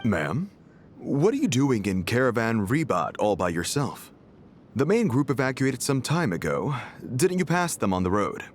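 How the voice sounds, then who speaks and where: A man playing an affable but wary desert guard with a sly streak in a video game, expressive and probing. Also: mid-range voice, normal speed, a little hiss or hum, close to an everyday voice. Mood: cautious, official. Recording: music under the voice.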